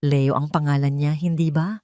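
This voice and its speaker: A woman's voice: sits low, steady tone, normal speed, dark tone, close to an everyday voice. A resentful, wealthy man in a romantic audio drama, as a woman narrator puts him on. He pushes every line with bitter, dramatic insistence. The sound is dry and close, free of room echo. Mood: pleading, insistent. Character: desperate, resentful wealthy man, a spurned father.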